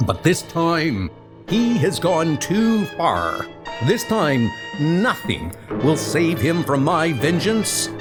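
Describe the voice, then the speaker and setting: Comic drama lead: vengeful thunder god, played by a man, higher-pitched, slow, declamatory, music behind. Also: very animated. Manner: declamatory, archaic heroic speech. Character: enraged, vengeful thunder god, noble and grandiose. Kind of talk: comic book audio dramatization.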